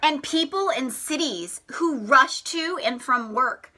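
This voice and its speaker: A woman teacher reading a picture book aloud to children in a deliberate, expressive way, pausing to explain and ask questions. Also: high voice, slight background noise. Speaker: elementary school teacher.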